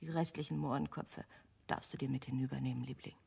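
An actress playing a witty, affectionate but bossy detective's wife in a German radio play, with a dry, teasing manner. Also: female voice, rumbling low, steady tone, fast, creaky, husky, full character voice. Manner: dry, teasing, gently scolding.

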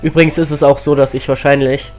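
Chatty teenage gamer with a cold. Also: male voice, mid-range voice, fast, faint music behind. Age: teens. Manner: chatty, excitable, rambling asides. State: has a cold. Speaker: let's play host. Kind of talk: casual game commentary.

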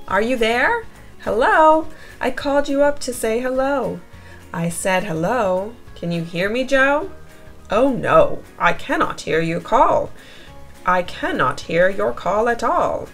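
A woman's voice: on the high side, gravelly, a bit bright, pitched above the performer's own voice, full character voice. A woman voicing a shaggy storybook creature on the telephone, calling out emphatically. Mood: eager calling.

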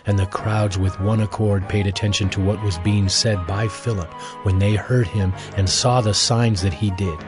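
A man's voice: fairly low pitch, steady tone, moderate pace, hardly any pauses. A man giving scripted narration for a dramatized scripture audio production. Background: music.

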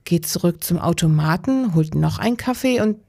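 A woman's voice: lowish voice, lively intonation, moderate pace, creaky, darkish tone. A woman acting in a crime radio play as an attentive, supportive police investigator. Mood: alert, questioning. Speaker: radio drama actor.

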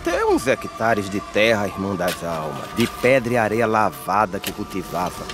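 A man playing a stoic, resigned peasant pallbearer, speaking deliberate, solemn verse in an animated film. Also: fairly low pitch. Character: stoic male peasant carrying the dead, dry, resigned. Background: music.

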